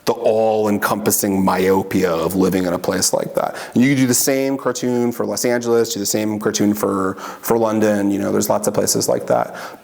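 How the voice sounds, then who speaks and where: A man's voice: fairly low pitch, gravelly, a little mellow. A man in an oral history interview, talking casually and rambling with many fillers and restarts. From Washington state.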